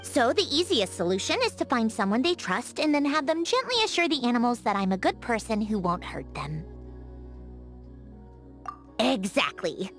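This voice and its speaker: An actress voicing a haughty, prickly young genius lady automaton in a video game, huffy and easily flustered, with a secretly soft heart. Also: a little high, big pitch swings, normal speed, soft background music. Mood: sheepish, huffy. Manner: haughty, huffy, flustered when caught being kind. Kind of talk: scripted video game character voice acting.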